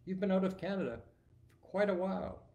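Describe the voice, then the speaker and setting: A man's voice: sits high, a little fast, gravelly, warm tone, pitched above the performer's own voice. Man reading as a polite woman customs officer. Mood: questioning.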